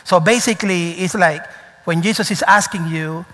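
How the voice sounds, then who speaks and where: A man preaching a sermon as pastor, animated and storytelling, with nonstandard English grammar. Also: high voice, normal speed. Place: church sanctuary. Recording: reverberant church hall.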